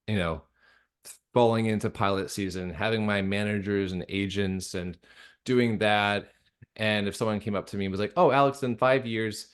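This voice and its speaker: A man speaking casually and reflectively as an interview guest, earnest and halting, often interrupting himself mid-thought. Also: lowish voice, clean tone. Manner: earnest, halting, conversational with frequent self-interruptions. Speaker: guest, audio drama creator and director.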